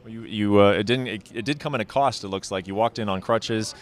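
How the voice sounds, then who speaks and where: A man in his thirties interviewing fighters, asking brisk, direct questions into a handheld mic. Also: on the low side. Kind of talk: sports interview.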